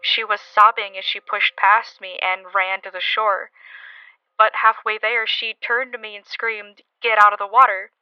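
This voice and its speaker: A woman's voice: mid-range voice, flat delivery, moderate pace, clean tone, no room sound, lo-fi sound, soft background music, lightly acted. A woman playing a skeptical young college student, giving a hesitant, confiding account in an audio drama. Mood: uneasy. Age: young adult.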